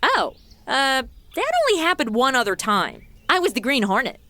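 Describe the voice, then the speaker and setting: A woman's voice: sits high, very animated, moderate pace, pushed and bright, slight background noise, heavily acted. An actress playing a breezy, irreverent modern woman with a flippant, slangy manner in a comedy audio drama.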